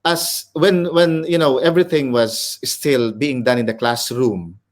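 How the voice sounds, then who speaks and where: A man's voice: mid-range voice, a little slow. A middle-aged man with a Filipino accent, an educator explaining ideas in an interview, switching between English and Filipino. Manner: lecturing, mixes English and Filipino. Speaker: educator guest.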